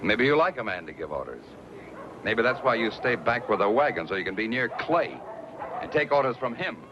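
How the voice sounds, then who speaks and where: Man playing a haughty, suspicious traveler, animated pitch. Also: fairly quick, close to an everyday voice. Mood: jealous. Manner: demanding, sneering, formal. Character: suspicious, possessive, haughty male wagon-train passenger. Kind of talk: western radio drama acting. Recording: dull lo-fi radio recording, noisy.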